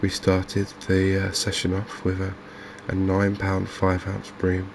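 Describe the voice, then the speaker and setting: A man giving softly spoken, ASMR-style commentary as host of a gaming channel, in a halting, understated way. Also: low-pitched, completely flat delivery, gravelly, background noise.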